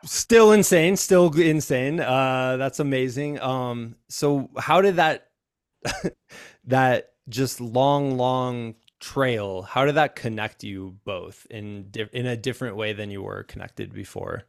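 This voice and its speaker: A middle-aged man hosts in a mid-range voice, peppering the talk with quick agreements. He reacts with open enthusiasm and asks questions in a loose, conversational way. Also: medium pitch, dry recording, clean studio sound. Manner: frequent short agreements, lively reactions. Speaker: podcast host. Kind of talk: casual interview hosting.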